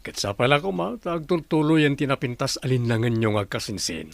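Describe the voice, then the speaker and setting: A man's voice: mid-range voice, expressive, fairly quick, vocal fry, no room sound. A man playing a grateful middle-aged father in a radio drama, earnest and affectionate. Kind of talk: Philippine radio drama acting.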